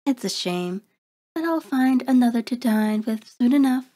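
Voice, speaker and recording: A woman playing a seductive, predatory vampire in an ASMR roleplay, soft and breathy with a coaxing, commanding manner, often slipping into a whisper. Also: a little high, lively intonation. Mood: satisfied, possessive. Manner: slow, deliberate, coaxing, controlling.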